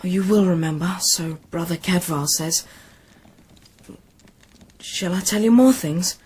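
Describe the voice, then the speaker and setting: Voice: medium pitch, measured pace, darkish tone, slightly rough. An actor playing an earnest, anxious young boy fleeing war in a radio drama. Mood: gentle, earnest. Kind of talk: BBC radio drama acting.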